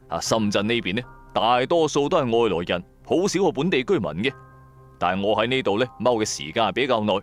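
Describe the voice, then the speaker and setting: Male narrator for Cantonese audio drama: colloquial, lively storyteller with big pitch swings, addressing listeners directly, music underneath. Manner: colloquial, animated storyteller with rhetorical asides. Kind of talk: dramatised first-person storytelling.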